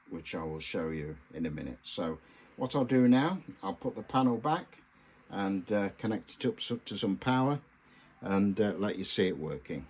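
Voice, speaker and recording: A man giving a casual hands-on demonstration as a radio hobbyist host, speaking in a halting, hesitant way with pauses. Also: on the low side, darkish tone.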